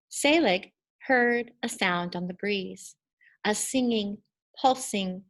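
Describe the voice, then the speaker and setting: A woman reading a children's story aloud in an expressive, deliberate and emphatic storytelling style. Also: medium pitch. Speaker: storybook reader.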